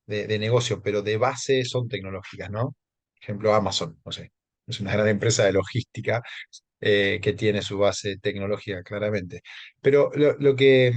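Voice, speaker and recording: A man's voice: medium pitch, dry recording. A man from Argentina with an Argentine accent, presenting a live webinar, speaking conversationally with frequent hesitations and questions to the audience. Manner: conversational, hesitant with many fillers, keeps asking the audience.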